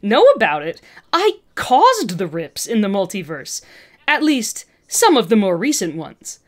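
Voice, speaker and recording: A woman's voice: mid-range voice, sing-song, a little slow. A woman voicing an arrogant, agitated woman scientist villain with an intense, condescending manner in a fan fiction reading. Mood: manic boasting.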